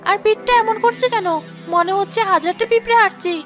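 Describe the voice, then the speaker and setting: A woman acts out a vain, gullible young village wife in a cartoon drama. The voice is extremely high and childlike, whiny and theatrical, with music playing behind it. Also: quick pace. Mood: distressed. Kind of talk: Bengali cartoon moral drama voice acting.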